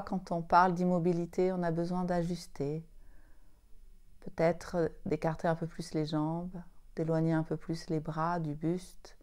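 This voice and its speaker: A woman leading a guided yoga nidra meditation, speaking soothingly in short, unhurried phrases with long pauses. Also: lowish voice, warm tone.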